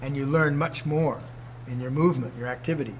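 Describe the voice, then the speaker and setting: A man's voice: medium pitch, brisk, poor audio. A man in his sixties, a martial arts teacher, giving an informal talk to students, emphatic and fond of rhetorical questions. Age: 60s.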